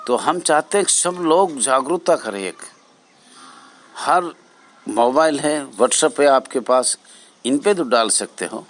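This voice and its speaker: An older man giving an informal address as an association leader, exhorting his fellow members in halting, repetitive phrases. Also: medium pitch, lively intonation. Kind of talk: informal spoken address to fellow home guards.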